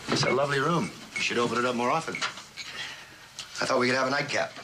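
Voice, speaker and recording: An actor playing a teasing, charming old college friend in a mid-century television drama, wry and bantering. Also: male voice, medium pitch, brisk, close to an everyday voice. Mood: easygoing. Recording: noisy old television soundtrack with clicks.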